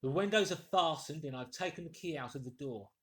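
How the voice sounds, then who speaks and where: An invisible man from a classic storybook, acted out by a male reader, menacing and in command. He gives curt, formal orders and threats in a slightly high male voice. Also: lively intonation, brisk, a bit bright.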